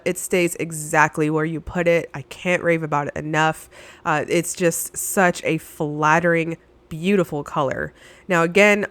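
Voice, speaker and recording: A woman's voice: on the low side, fairly bright tone, no echo. Stuffy-nosed woman gushing over nail polish. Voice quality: nasal. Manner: enthusiastic, gushing, with frequent fillers. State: stuffy, under the weather. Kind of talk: voice-over product review.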